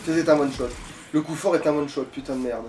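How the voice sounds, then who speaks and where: A man's voice: on the high side, steady tone, quick pace, darkish tone, far from the mic, slight background noise. A man chatting casually as he plays a video game, with crude, slangy talk and frequent swearing. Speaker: let's play gamer at the controls. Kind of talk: casual gaming chat while playing.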